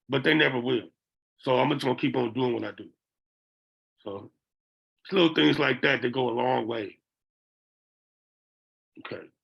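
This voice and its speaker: A middle-aged man with a raspy voice, a behavioral interventionist lecturing educators and often checking in with "okay?". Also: on the high side, fairly bright tone, low-quality recording. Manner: checks in with listeners by asking "okay?".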